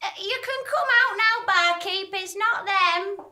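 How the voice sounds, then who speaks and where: A woman playing a glum, barely functioning saloon computer in a comic radio play, hesitant and deadpan. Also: very high-pitched, unhurried, exaggerated voice. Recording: roomy live theatre sound.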